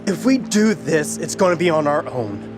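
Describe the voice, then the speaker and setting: A woman's voice: low voice, expressive, normal speed, over music. A woman playing a brash, boastful young boy king in an audio drama, cocky and defiant, barking and growling. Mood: scornful, defiant. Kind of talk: audio drama character acting.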